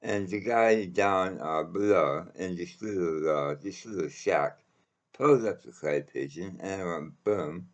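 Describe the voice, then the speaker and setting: Very deep-voiced man, slow casual storyteller. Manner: halting, rambling delivery with frequent pauses. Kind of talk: casual personal anecdote.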